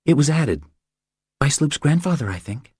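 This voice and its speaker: A man voicing a weary, determined but frightened young mother with an earnest, hushed delivery, in an audiobook. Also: fairly high pitch, big pitch swings, normal speed, slightly rough, no room sound, clean studio sound, pitched above the performer's own voice. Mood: guarded.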